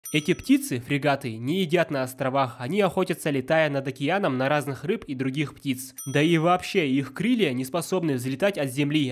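A man with a somewhat high voice delivers scripted nitpicking commentary. He sounds pedantic and sarcastic, picking at details as a narrator. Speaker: YouTube film critic, narrator.

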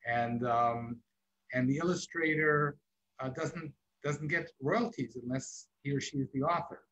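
A man's voice: medium pitch, unhurried, a bit bright. A middle-aged man, hosting an interview over a video call, talking frankly with many fillers. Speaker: interview host. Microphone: video call, computer mic. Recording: muffled video call audio.

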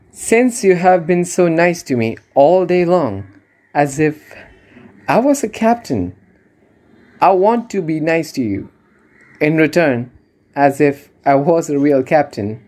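A man's voice: fairly high pitch, mellow. A man playing a poor, humble wandering rat trap seller in an amateur radio drama, speaking earnestly and politely.

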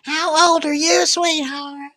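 A woman doing a loud, bawdy older woman with a shrill, piercing voice who drawls slowly and talks over others. She is crude and giggly, and proud of her singing. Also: fairly even intonation. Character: brash crude 57-year-old woman, chain smoker, bar singer. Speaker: scam baiter. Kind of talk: prank voice chat with a scammer.

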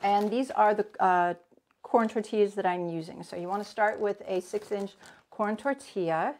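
A woman's voice: mid-range voice, normal speed, a little mellow. A woman in her forties teaching a live cooking demo from her home kitchen, chatting and greeting viewers by name as she works. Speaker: cooking instructor.

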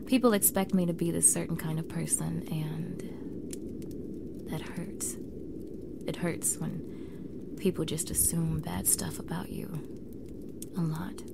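A young woman acting a standoffish, awkward mean girl who is softening, in an intimate ASMR roleplay, halting and flustered, with soft breathy delivery. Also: on the low side, steady tone. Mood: hurt, confiding. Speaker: audio roleplay voice actor.

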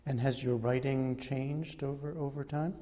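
A man conducts a measured broadcast interview. His voice is mellow and mid-pitched. It carries the long reverb of a gallery. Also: fairly even intonation. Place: indoor art gallery. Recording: muffled, reverberant gallery room.

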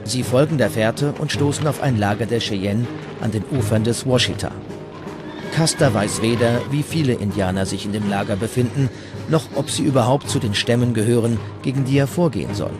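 A man's voice: medium pitch, fairly quick. A man reading scripted documentary narration as the narrator. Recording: music bed behind the voice. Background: music.

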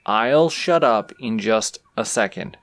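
A man's voice: lowish voice, animated, slow pace, a bit bright, no echo, full character voice. A man playing an easygoing, teasing traveling swordsman in an audio roleplay, casual and reassuring with a cocky streak, talking straight to the listener. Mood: teasing, coaxing.

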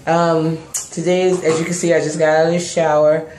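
A young woman with a cold and a hoarse voice, casually talking through her hair routine with many pauses, into a close-up phone camera in a small bathroom. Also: sits low. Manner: relaxed, hesitant, many ums while working on her hair. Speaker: hair vlogger. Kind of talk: casual hair tutorial talk. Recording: echoey bathroom with background noise.

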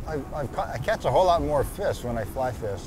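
A middle-aged man, a fly fisherman, talking in an unhurried, thoughtful way with restarts, on a lapel mic outdoors in shallow water. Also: medium pitch, lively intonation. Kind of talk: casual talk about fishing. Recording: outdoor background noise.

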